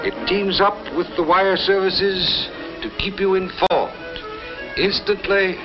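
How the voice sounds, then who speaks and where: A man's voice: sits high, fairly even intonation, takes it slowly. A man narrating in an emphatic, declamatory vintage newsreel style. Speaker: promotional film announcer. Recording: dull old film soundtrack with music.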